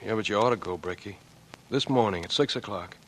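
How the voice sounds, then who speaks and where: A low-voiced young burglar played by a man in an old radio drama. He is weary and guilt-ridden, confessing in a grave, halting, resigned way. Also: lightly acted, poor audio. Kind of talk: 1940s radio acting.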